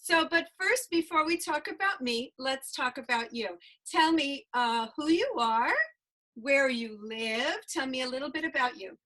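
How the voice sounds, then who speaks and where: Slow-talking, high-voiced female host. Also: animated. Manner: warm, enthusiastic, exclaims. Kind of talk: interview show hosting.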